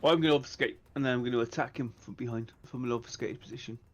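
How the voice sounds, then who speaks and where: A man's voice: medium pitch, very fast. A man playing a tabletop roleplaying game, casually stating his moves and asking about rules. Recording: slightly dull, lo-fi sound.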